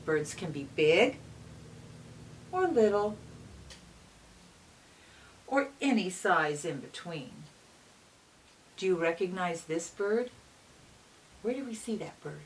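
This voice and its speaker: A woman reading a picture book aloud to young children in a gentle, teacherly manner, often pausing to put questions to them. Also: medium pitch. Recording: distant mic with faint hiss.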